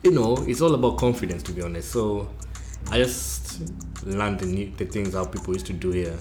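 A man's voice: deep voice, moderate pace, a little mellow. A young man from Kenya with a Nairobi accent, chatting casually and laughing often. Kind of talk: casual chat. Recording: echoey room with faint noise.

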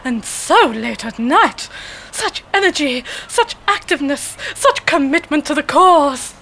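A jolly, pompous older British gentleman in a sketch comedy monologue. The voice is high and very forceful, with slow, theatrical bluster and posh exclamations. He is blissfully oblivious and full of old-school sportsmaster zeal. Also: lively intonation, creaky, exaggerated voice, rough recording. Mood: jovial bluster.

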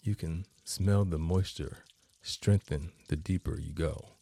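A man narrating a guided meditation as host, in measured phrases with long pauses between them. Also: a bass voice, vocal fry, dark tone.

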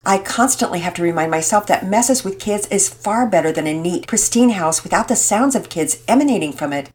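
A woman voicing a patient, gentle mother figure who speaks calmly and explains things like a teacher. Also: medium pitch, brisk, natural voice. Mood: teaching. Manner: calm, warm, teaching tone.

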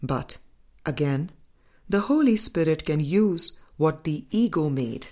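A woman reading a spiritual book as scripted narration, in a measured, deliberate manner with frequent pauses. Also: sits low, animated, a little mellow. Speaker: audiobook reader.

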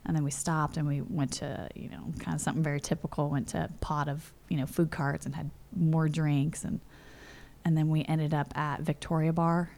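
A woman's voice: deep voice, flat delivery, moderate pace, vocal fry, darkish tone. A woman in her forties from California, telling personal stories in a chatty interview full of "you know" and "like". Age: 40s. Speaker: interview guest. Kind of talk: casual storytelling. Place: home.

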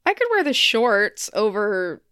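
A woman's voice: medium pitch, big pitch swings, measured pace, a bit bright, clean tone, dry recording, studio quality. A woman co-hosting a podcast in casual, jokey chat, with a giggly manner. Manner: giggly, laughs readily through her talk. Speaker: podcast co-host.

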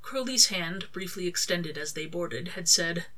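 Bright-voiced woman reading a story. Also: fairly low pitch, unhurried, poor audio. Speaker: author reading own fan fiction. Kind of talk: scripted story narration with acted dialogue.

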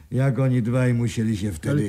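An actor in a Polish radio play playing an irascible, sarcastic old business partner who scolds bitterly. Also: male voice, mid-range voice, normal speed, warm tone, natural voice. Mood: bitter, mocking.

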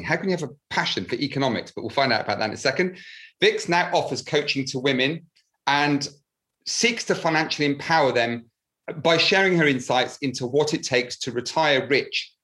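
A man's voice: mid-range voice, fairly bright tone. A man hosting a podcast, reading an enthusiastic, polished introduction of his guest. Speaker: podcast host.

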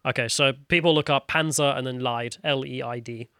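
A man's voice: medium pitch, steady tone, brisk, bright, forceful tone, no room sound, clean studio sound. A man co-hosting a podcast, talking casually, laughing easily and reading viewer comments aloud. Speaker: podcast co-host.

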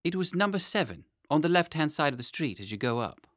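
Male audiobook reader for children's tale: expressive, varied intonation, medium pitch, dry intimate recording. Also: brisk, no echo. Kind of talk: animated scripted storybook narration.

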